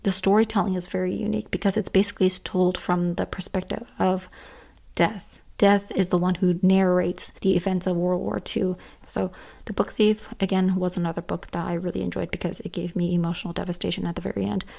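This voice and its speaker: A woman with a tired, hoarse voice talks casually and earnestly through a list of favorites, repeating words for emphasis. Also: on the low side, little pitch movement, brisk, mellow. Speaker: book reviewer, channel host. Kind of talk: casual list-style book and manga chat.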